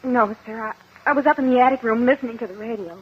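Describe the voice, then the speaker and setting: High-voiced uneasy young heiress. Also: female voice, quick pace, slightly rough. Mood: hesitant, guarded. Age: young adult. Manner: hesitant, guarded. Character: uneasy, defensive young heiress on the witness stand. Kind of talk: 1950 radio courtroom drama acting. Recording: muffled, hissy old radio recording.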